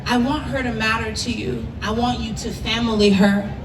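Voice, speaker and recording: Woman doing live slam poetry, high voice, deliberate delivery, echoey and kinda noisy. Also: fairly even intonation. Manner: emphatic, deliberate, with repeated phrases. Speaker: spoken word poet. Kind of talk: dramatic spoken-word poetry performed live. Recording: echoey, noisy live venue, distant mic.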